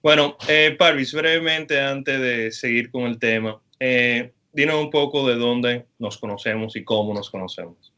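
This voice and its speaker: A male professor leads a class interview over video. His voice is bright and forceful, and he stays friendly and measured, with frequent pauses and fillers as he moves between questions. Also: medium pitch, clean tone.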